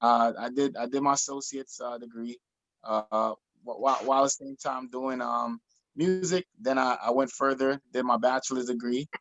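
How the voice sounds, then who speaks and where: A man, telling his story as a guest in an interview, animated, energetic, with many restarts. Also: medium pitch, moderate pace, highs cut off. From Jamaica. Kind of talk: video call interview. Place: small room in front of a printed backdrop. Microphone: laptop or webcam on video call.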